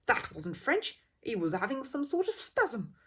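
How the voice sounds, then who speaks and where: A woman's voice: a little high, big pitch swings, measured pace, a bit bright, breathy edge, voice pushed up, exaggerated voice. A woman voicing an opinionated working-class man in a street crowd for a storybook, with emphatic, colloquial, ungrammatical speech. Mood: knowing, emphatic.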